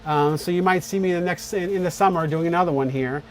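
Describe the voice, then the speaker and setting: A man with a slightly raised pitch chats loosely on a live stream, thanking viewers and drifting between topics. He talks with many fillers and repeated starts. Faint ambient noise hums behind him. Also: quick pace. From born on a US Navy base in Morocco, raised in many places. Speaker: YouTube creator hosting a live premiere chat. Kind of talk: casual live-stream chat.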